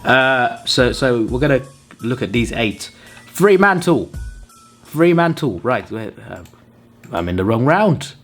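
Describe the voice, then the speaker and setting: Hoarse man tallying in mock outrage. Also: mid-range voice, sing-song, slow, fairly bright tone, faint music behind. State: hoarse, under the weather. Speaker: channel host. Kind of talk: casual commentary reading out viewer tips.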